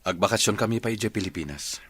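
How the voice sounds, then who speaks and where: Low-voiced headstrong son, Ilocano. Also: male voice, fast talker, fairly bright tone, breathy edge. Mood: firm, defiant. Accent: Filipino, Ilocano. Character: headstrong grown son longing for his homeland. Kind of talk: Ilocano radio drama acting.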